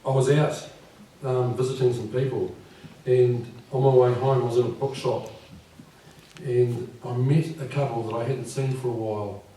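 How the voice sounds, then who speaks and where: A man in his fifties with a croaky voice, reading his poems at a live event and speaking gently and unhurriedly. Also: mid-range voice, fairly even intonation, moderate pace, slight background noise. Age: 50s. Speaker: poet. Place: live venue with audience. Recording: echoey room from far off.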